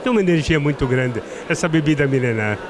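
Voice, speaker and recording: A middle-aged man from southern Brazil with a strong Italian-tinged accent, speaking as a winemaker guest in a halting way, often restarting his words. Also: a little high, animated, crowd noise. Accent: Italian-tinged Brazilian Portuguese. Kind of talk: casual interview at a trade fair. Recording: noisy trade fair ambience.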